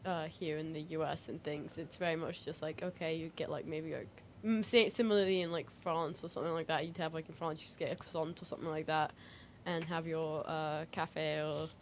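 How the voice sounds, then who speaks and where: A woman's voice: deep voice, steady tone, normal speed. A woman co-hosting a casual chat, talking hesitantly with lots of filler words. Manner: hesitant, frequent "like" hedging. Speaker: co-host. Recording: faint background noise.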